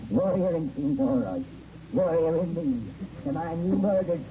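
A man's voice: fairly high pitch, lively intonation, close to an everyday voice. An actor playing the vengeful, menacing ghost of a murdered man in a 1960s radio horror play, taunting his killer. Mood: accusing, taunting. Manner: taunting, menacing, drawn-out accusations. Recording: muffled noisy old radio recording.

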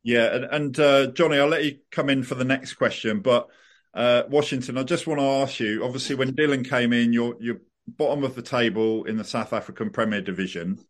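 A man's voice: mid-range voice, steady tone, a little fast. A male podcast host guiding a football interview in a mid-range voice. He asks long, layered questions and circles back over his words as he builds them.

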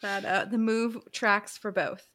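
A woman's voice: mid-range voice, fairly even intonation, measured pace, fairly bright tone. A woman co-hosting a book recap podcast in casual, playful, informal language.

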